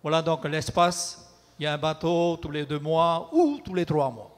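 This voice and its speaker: A man interpreting a sermon in a church, measured and sometimes hesitant. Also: a little high, fairly even intonation, big-room sound. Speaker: pastor and interpreter. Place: church hall.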